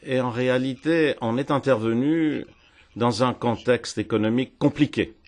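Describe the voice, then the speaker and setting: A man interpreting a political speech live in a parliament hemicycle, phrasing in halting bursts and repeating words as he catches up. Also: medium pitch, darkish tone. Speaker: simultaneous interpreter.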